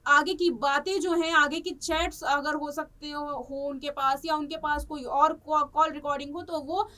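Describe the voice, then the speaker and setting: A woman presenting news commentary in a halting, repetitive way, stretching words as she searches for the next. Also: sits high, steady tone, sharp tone. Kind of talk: unscripted news commentary on a celebrity case. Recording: distant microphone.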